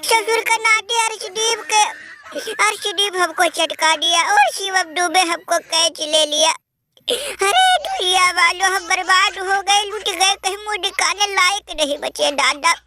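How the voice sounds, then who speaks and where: Squeaky wailing cartoon cricketer. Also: male voice, sing-song, a little slow, bright, forceful tone, a little hiss or hum, full character voice. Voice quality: squeaky, sped-up high voice. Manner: melodramatic wailing, crying while talking. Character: wailing, sobbing male cricketer, beaten and self-pitying. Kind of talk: comic cartoon lament.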